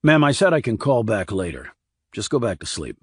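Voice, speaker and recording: A man's voice: on the low side, big pitch swings, normal speed, husky, no echo. A man playing a terse, wry, formal Army investigator with clipped military delivery in an audiobook. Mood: controlled, polite. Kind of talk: audiobook character acting.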